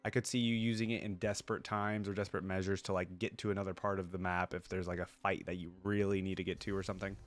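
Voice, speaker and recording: A man's voice: deep voice, level tone, fast talker, hardly any pauses. A man hosting a reaction video, giving casual, slangy, exclamatory commentary.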